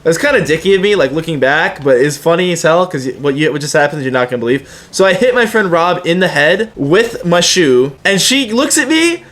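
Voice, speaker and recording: A young man with a slightly high voice tells stories about himself like a YouTuber chatting to viewers. His delivery is casual and slangy, with some swearing. He slips into quoted back-and-forth dialogue as he goes. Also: animated, a little fast, hardly any pauses, fairly bright tone. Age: young adult. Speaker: YouTuber telling personal stories. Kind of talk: casual anecdotal storytelling.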